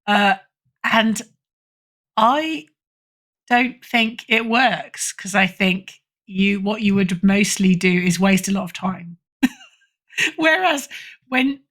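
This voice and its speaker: A woman giving casual interview answers as a podcast guest and editor, thoughtful, pausing for emphasis. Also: mid-range voice, animated, a bit bright.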